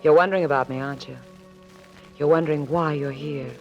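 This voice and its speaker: Cool, anxious femme of vintage radio drama. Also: female voice, low voice, steady tone, brisk. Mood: cool, coy. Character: anxious, cool, secretive woman being blackmailed, pleading for help. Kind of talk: 1940s radio drama acting. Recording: dull vintage 1940s radio recording, faint music.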